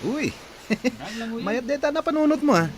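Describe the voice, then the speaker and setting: A man's voice: very high-pitched, animated, a little slow. An actor playing an easygoing village man, pleased with his lot, in an Ilocano radio drama with an Ilocano accent.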